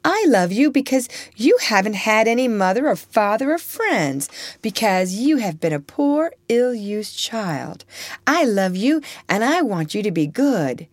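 A woman voicing a gentle, frail little girl in a storybook, earnest, loving and sad, pleading tenderly. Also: mid-range voice, very animated, a little slow, no room sound, pitched above the performer's own voice. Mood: tender, sorrowful.

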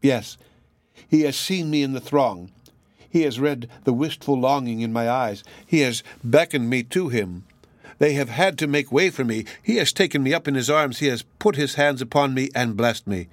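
A man's voice: medium pitch, normal speed, no room sound, lightly acted. A genteel young lady of a storybook, voiced by a male narrator, dreamy, earnest and eager. The sound is dry and close. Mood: hushed rapture.

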